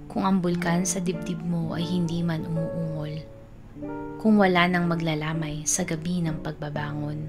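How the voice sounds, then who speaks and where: Low-voiced Filipino woman reciting over guitar music. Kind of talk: poetry recitation. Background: plucked guitar music.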